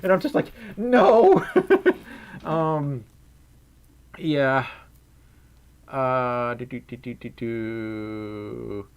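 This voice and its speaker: A man's voice: medium pitch, animated, drawn-out words, pauses often, smooth. A young man with a scratchy voice, chatting playfully on a livestream, giggling and humming while he reads chat messages. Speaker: streamer. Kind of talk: casual livestream chat reading donations.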